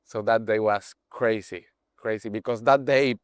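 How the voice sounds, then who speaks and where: A man in his twenties from Mexico with a Mexican Spanish accent, speaking English as a second language, halting and searching for words as an interview guest. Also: fairly low pitch, steady tone, clean tone, no room sound, clean studio sound. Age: 20s. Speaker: guest, page owner. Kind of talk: casual interview chat.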